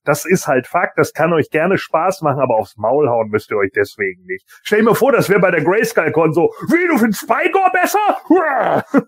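A man co-hosting a podcast, chatting casually and colloquially with halting asides. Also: fairly high pitch, very animated, no echo, studio quality. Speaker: podcast co-host. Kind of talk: casual anecdotal chat.

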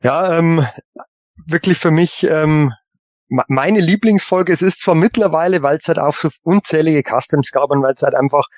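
A man with a slightly high voice chats casually as a podcast co-host. He is enthusiastic and rambling, often halting mid-thought, in a dry recording. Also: darkish tone, no echo. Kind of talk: casual fan chat. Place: remote online call.

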